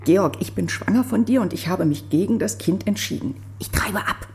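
A woman's voice: mid-range voice, animated, moderate pace, darkish tone, close to an everyday voice. An actress playing a hurt, reproachful yet resolute woman in a radio drama, speaking in a subdued, terse way. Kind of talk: naturalistic radio drama acting.

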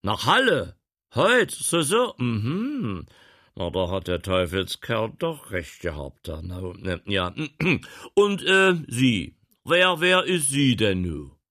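A man doing a booming, domineering old grain dealer. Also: lively intonation, slow pace, no echo, studio quality. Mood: blustering. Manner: loud, bossy, blustering.

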